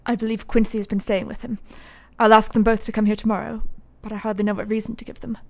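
Radio drama doctor, played by a woman: earnest, sceptical, troubled, formal diction, mid-range female pitch. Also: flat delivery, fast, vocal fry, a little mellow, natural voice. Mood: reluctant, anxious. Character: troubled, sceptical male doctor, the professor's former student.

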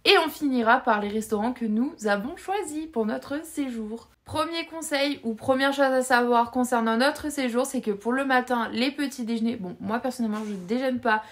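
Chatty young French woman, slightly high voice. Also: moderate pace, few pauses. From France. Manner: chatty and conversational, lots of fillers, addresses viewer directly. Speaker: travel vlogger. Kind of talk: casual talking-head vlog. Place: bedroom with shelves, indoors.

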